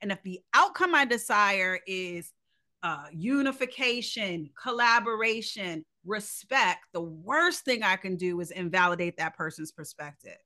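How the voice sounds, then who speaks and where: A woman's voice: mid-range voice, lively intonation, a little slow, sharp tone. A woman co-hosting a podcast, talking earnestly and emphatically in a reflective conversational monologue, often posing rhetorical questions. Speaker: podcast co-host.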